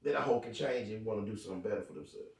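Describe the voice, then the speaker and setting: A man hosting a comedy podcast, rambling and repeating himself, breaking into loud laughs, sounding a little hoarse. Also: on the low side, very fast. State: says he is hoarse. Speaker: podcast host. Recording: echoey room, distant mic, faint hiss.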